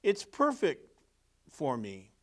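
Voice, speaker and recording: A man's voice: fairly high pitch, wildly swooping pitch, takes it slowly, full character voice. A man voicing a plain-spoken storybook woodcutter, matter-of-fact as he sizes up trees. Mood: approving.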